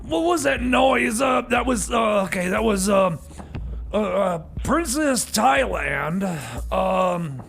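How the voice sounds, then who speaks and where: Man playing a high, creaky, flustered radio DJ. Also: lively intonation, fairly bright tone, full character voice. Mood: rattled, apologetic. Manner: halting, stammering, exasperated. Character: flustered, apologetic substitute male radio DJ. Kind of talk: comedy radio show patter. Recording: faint background noise.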